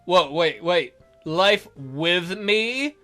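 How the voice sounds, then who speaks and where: A man's voice: sits high, expressive, drawn-out words, bright, forceful tone, faint music behind. A man reading a visual novel aloud as a let's play host, in a theatrical, jokey way with his own ad-libbed commentary.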